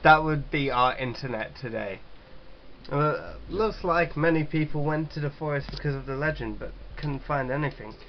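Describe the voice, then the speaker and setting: A man's voice: on the high side, normal speed. Jokey sweary man, dull noisy lo-fi mic. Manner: sweary, jokey, stumbles while reading aloud. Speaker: gaming video host. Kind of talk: casual let's play read-aloud.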